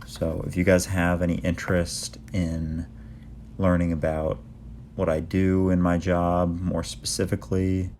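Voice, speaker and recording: A young man with a very deep voice and a gravelly edge narrates his day in an easy vlog style. He keeps a flat, monotone delivery throughout, and a faint hiss sits under the recording. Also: measured pace. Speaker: vlogger. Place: apartment kitchen table and backyard.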